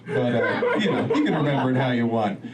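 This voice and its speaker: A man's voice: medium pitch, very animated, fairly quick. A man doing a stand-up comedy set in a club, rambling and laughing at his own jokes. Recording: distant mic, noisy club room.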